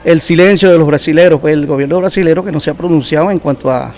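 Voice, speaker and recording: A man from the Essequibo answers questions as a guest, with a voice a little on the high side. He talks informally and hesitantly, feeling his way through each answer. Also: quick pace, a little mellow, a little hiss or hum. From Rupununi region of the Essequibo, Guyana. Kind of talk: interview answers.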